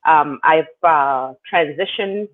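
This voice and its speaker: A man's voice: a little high, slow pace. A man from South Sudan, a guest in an interview, telling his story in a loose, rambling way with lots of fillers. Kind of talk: casual interview answers. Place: living in Canada. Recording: tinny phone line.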